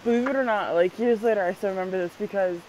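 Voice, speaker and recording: Animated, swearing woman, Spanish native. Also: mid-range voice, fast talker, noisy background. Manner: animated, swears freely, laughs often. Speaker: vlog co-host. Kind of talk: casual chat.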